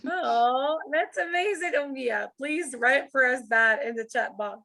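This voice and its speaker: High-voiced, slow, chatty woman teacher. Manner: warm, laughs often, repeats words. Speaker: writing class facilitator. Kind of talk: online class, encouraging and chatty. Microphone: video call.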